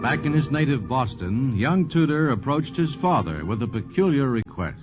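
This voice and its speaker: A man's voice: medium pitch, sing-song, moderate pace. A man narrating a scripted 1940s radio program as host, with polished storytelling and dramatic emphasis. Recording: muffled 1940s radio transcription. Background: music.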